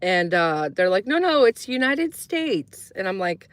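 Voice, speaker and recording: A woman's voice: mid-range voice, very animated, a little slow, dry recording. Chatty woman telling stories, dry close mic. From Croatia. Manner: chatty, rambling with many fillers, acts out quoted voices. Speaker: live streamer. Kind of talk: casual storytelling to a live chat.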